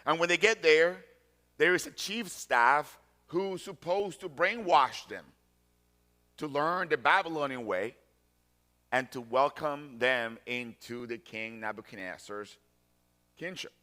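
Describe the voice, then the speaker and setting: Pastor, male, 40s; bright, forceful tone, emphatic repetition. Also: a little high. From Colombia. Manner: deliberate, emphatic repetition of key phrases. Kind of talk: sermon.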